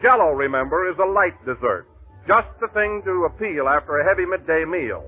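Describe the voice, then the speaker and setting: A man's voice: fairly high pitch, animated, a little fast, faint music behind. A man working as a radio announcer, delivering a scripted 1930s advertisement in polished, flowery sales patter. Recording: husky-sounding lo-fi 1930s radio recording.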